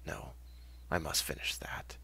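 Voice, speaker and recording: A man voicing a panicked, sleepless young man who doubts his sanity, in a husky whispery voice, muttering haltingly in a horror story. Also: pushed and bright. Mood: distracted, weary. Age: mid twenties. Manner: tormented, halting, muttering to himself.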